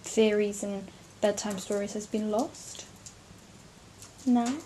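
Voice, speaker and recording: A woman's voice: mid-range voice, flat delivery, takes it slowly, dark tone, slight background noise, poor audio. A young woman interviewing a relative about bedtime stories, encouraging and laughing often. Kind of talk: casual family interview.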